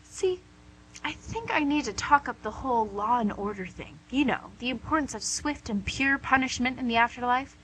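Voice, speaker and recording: A woman's voice: a little high, expressive, normal speed, fairly bright tone, slight background noise, low-quality recording. A woman playing a smug, coaxing female devil in a comic radio play, with a theatrical, glib delivery. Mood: self-satisfied. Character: smug, wheedling, image-conscious female devil called Lucy.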